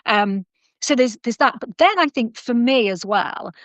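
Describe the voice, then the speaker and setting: A middle-aged woman, hosting a podcast interview in a chatty, animated way, often restarting her sentences. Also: fairly high pitch, a little slow, no room sound. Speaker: podcast host. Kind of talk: casual conversational interview.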